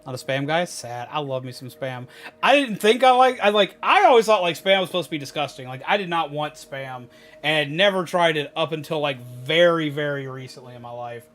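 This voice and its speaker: Male game streamer, higher-pitched, jokey and playful, casual chat over clear background music. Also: expressive, a little fast, fairly bright tone. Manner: jokey, playful, repeats phrases. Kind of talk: casual livestream gameplay commentary with chat.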